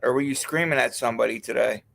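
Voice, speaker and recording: A man's voice: mid-range voice, fairly even intonation, creaky, a bit bright. A man hosting a live stream, chatting in casual crude banter, easygoing and swearing freely. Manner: easygoing, swears a lot, quick to laugh.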